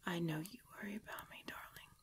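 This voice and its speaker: A woman playing a tormented, devoted pregnant vampire in an intimate ASMR roleplay, halting and breathy, often dropping into a whisper. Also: fairly low pitch, steady tone, natural voice. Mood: weary, tender. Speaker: voice actor in audio roleplay.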